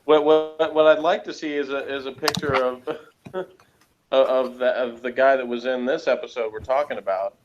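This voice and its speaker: A man's voice: mid-range voice, fairly even intonation, normal speed, soft background music. Man on a dull, lo-fi call. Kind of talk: casual conversation.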